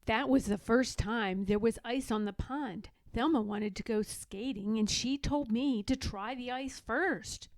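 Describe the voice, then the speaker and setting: A woman's voice: a little high, unhurried, few pauses, no room sound. A woman voicing an eager, earnest young badger girl in a children's storybook, plain-spoken and insistent. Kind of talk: children's storybook read-aloud.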